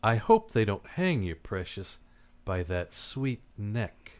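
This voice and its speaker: A man's voice: on the low side, very animated, slow pace. Man playing a hard-boiled, cynical private detective. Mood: bitter, resolute. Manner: terse, blunt, slangy tough-guy delivery. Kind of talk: live old-time radio drama acting.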